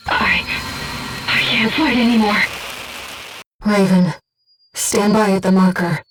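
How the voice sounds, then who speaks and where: A woman voicing a gentle, earnest disembodied female companion in a video game, speaking formally and with measured composure as mission support. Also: medium pitch, steady tone, far from the mic, noisy background, close to an everyday voice. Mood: earnest, tender. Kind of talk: scripted video game voice acting, mission briefing.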